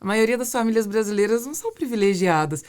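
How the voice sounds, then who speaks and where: A woman's voice: on the high side, lively intonation, quick pace, a little mellow, smooth. Explanatory Brazilian woman hosting a conversation. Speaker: podcast host and business mentor. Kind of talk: casual interview conversation.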